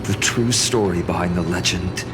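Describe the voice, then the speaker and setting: A man playing a calm, courteous and resolute adventurer in a video game. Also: deep voice, moderate pace, lightly acted. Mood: calm, determined. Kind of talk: scripted video game dialogue. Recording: music behind the voice.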